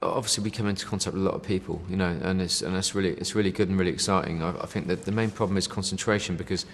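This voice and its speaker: A man's voice: low-pitched, fairly even intonation, racing, in a large hall. A man chatting casually in an interview, rambling with lots of fillers and the odd "mate". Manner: rambling, many fillers, says "you know" and "mate". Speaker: band member interviewed.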